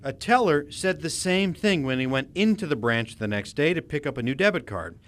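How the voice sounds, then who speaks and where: Animated American man narrating a news report. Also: on the high side, moderate pace.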